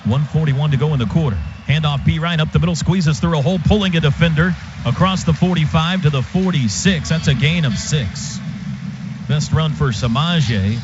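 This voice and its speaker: Male radio play-by-play announcer, raised pitch, clipped football commentary, dull broadcast sound, clear stadium noise behind. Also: moderate pace. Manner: clipped present-tense phrases.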